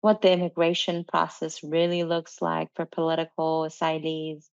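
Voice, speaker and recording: A woman's voice: low voice, completely flat delivery, moderate pace, vocal fry, highs cut off. A woman hosting an interview podcast, speaking in a prepared presenter style. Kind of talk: scripted podcast introduction and interview.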